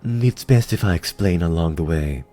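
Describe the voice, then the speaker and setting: A man's voice: deep voice, lively intonation, moderate pace, mellow, faint music behind. A man voicing a sly, scholarly female spider vizier in a fantasy audio drama, with a refined, wry, courteous manner. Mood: brisk, courteous.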